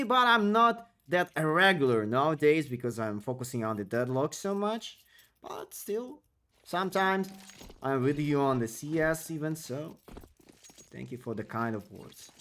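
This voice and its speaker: A man's voice: fairly high pitch, slight background noise. A man casting an esports match solo on a live stream, speaking non-native English with slightly broken phrasing and chatting with viewers between plays. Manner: excitable play-by-play calls, chatty with viewers. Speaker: esports caster. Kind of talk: solo live game commentary and chat replies.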